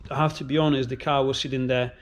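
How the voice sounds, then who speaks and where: A man's voice: mid-range voice, flat delivery, fast. A man who grew up in Italy, speaking English as a second language, rambling casually with many fillers and restarts while showing his race car. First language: Italian.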